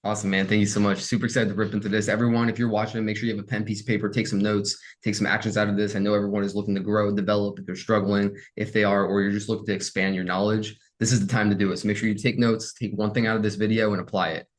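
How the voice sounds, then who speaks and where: A man hosting a career podcast, upbeat and energetic, chatting casually with plenty of fillers. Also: fairly low pitch, racing, hardly any pauses. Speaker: podcast host.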